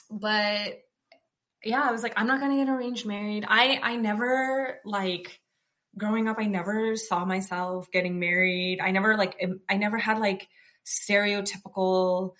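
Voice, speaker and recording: Loud, outspoken young American woman. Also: mid-range voice. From United States. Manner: chatty, frequent fillers and restarts. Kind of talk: personal storytelling, casual.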